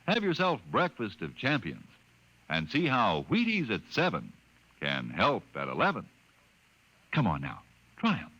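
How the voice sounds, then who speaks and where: A man's voice: on the low side, wildly swooping pitch, unhurried, long pauses, sharp tone, a little hiss or hum. An American man delivering a folksy 1950s radio commercial as the announcer. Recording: dull, band-limited old radio recording.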